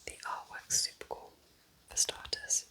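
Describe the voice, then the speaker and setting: A woman whispering breathily and gently in an unhurried, chatty ASMR style, as a kind witch recommending books. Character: kind witch welcoming a visitor to her cabin. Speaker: ASMR roleplay host.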